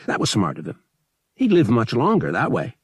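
A man narrating a science fiction audiobook as a scripted, expressive dramatic story. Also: medium pitch, moderate pace, slightly rough, no room sound.

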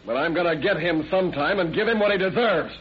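An actor playing an angry, vengeful robbery victim, belligerent and slangy, in 1930s radio style. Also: male voice, sits high, expressive, quick pace. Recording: dull old lo-fi radio recording.

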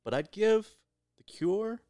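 A man's voice: high voice, animated, slow pace, mellow, dry recording, clean studio sound. A man co-hosting a podcast with casual, joking banter and an irreverent, profane way of talking. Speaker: podcast co-host.

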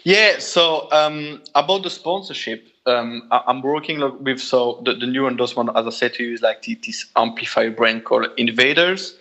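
A Belgian man, a native French speaker with a Belgian French accent, chatting as a guest musician in an interview, halting and restarting often. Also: mid-range voice, pushed and bright, muffled recording. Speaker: guitarist, guest. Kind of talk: casual interview chat.

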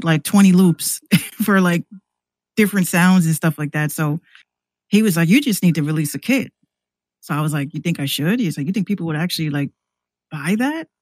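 A woman's voice: low voice, animated, normal speed, darkish tone, no echo. A woman chatting casually as a podcast guest, telling stories with quoted asides. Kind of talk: casual chat.

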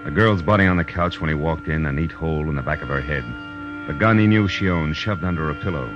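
A man's voice: very deep voice, fast talker, muffled recording. An American man playing a wry, tough private eye in clipped, sardonic 1940s radio style. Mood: wry narrating. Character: hard-boiled, wry male private eye. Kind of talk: 1940s radio acting, first-person narration. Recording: old radio broadcast with music.

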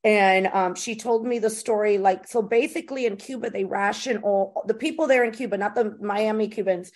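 A woman's voice: medium pitch, moderate pace, a little mellow. A woman hosting a casual podcast interview, chatting informally with slangy phrasing. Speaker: podcast host interviewing a comedian.

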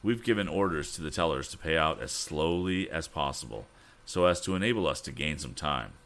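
Guy reading as a worried tired dad in an audiobook, low voice, grave and resigned. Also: expressive, fairly bright tone, heavily acted. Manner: measured, resigned. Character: grave, weary, worried father and banker. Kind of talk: audiobook character voice.

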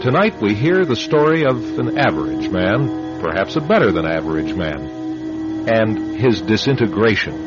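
A solemn male radio announcer with a fairly low voice introduces suspense drama formally. His intonation is strongly varied over music, with the dull sound of an old broadcast. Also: sing-song, normal speed. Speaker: announcer and narrator.

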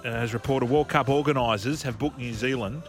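A man's voice: mid-range voice, brisk, soft background music. An Australian man co-hosting a talk radio show, chatty and joking as he runs a segment. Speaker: radio co-host.

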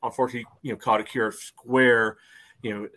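A podcast co-host arguing a soccer call, with "you know" between nearly every phrase. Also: male voice, medium pitch, level tone, fast talker, pushed and bright. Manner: loose, fills with "you know" often. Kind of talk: casual sports debate.

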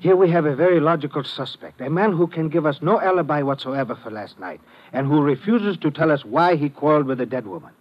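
A stern police lieutenant in a 1950s radio drama, foreign and very formal. His voice is on the high side and he words everything precisely. The sound is a dull old broadcast. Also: lively intonation, fast talker. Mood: grave. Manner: precise, formal speech without contractions.

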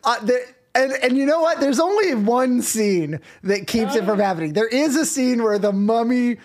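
A man's voice: very high voice, lively intonation, normal speed, darkish tone. A man co-hosting a casual podcast, riffing in a playful, joking way. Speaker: podcast co-host. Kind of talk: casual chat.

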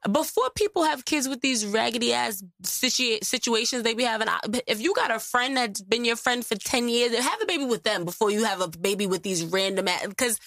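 A woman co-hosting a podcast in casual chat, lively and slangy, laughing often. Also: fairly high pitch, fairly quick, vocal fry, hardly any pauses, dry recording. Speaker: podcast co-host.

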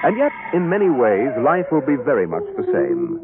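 A man's voice: on the high side, wildly swooping pitch, normal speed, narrow, tinny audio. A man with an American accent announcing and narrating a 1950s radio drama in a formal, dramatic manner. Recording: vintage radio recording with loud music.

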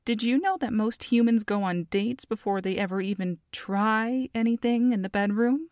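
A woman's voice: fairly high pitch, moderate pace, darkish tone, no room sound, clean studio sound, close to an everyday voice. A woman voicing a feisty, scheming goblin mother in an audio roleplay, sassy and haughty as she talks to a captive. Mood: boastful. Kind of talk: ASMR audio roleplay monologue.

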